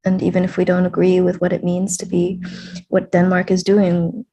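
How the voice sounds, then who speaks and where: A woman interviewer speaks slowly and thoughtfully, with a low, husky voice. Her delivery stays flat and mellow, with a gravelly edge. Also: poor audio. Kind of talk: casual conversation.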